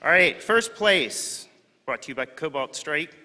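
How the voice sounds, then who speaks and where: An upbeat man with a bright, lively voice reads out prize winners and jokes with the audience. His voice rings through a large conference hall with a long reverb. A crowd murmurs faintly behind him. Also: a little high, unhurried. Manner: upbeat, joking, calls out to the crowd. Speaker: contest organizer presenting prizes. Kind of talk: announcing winners on stage.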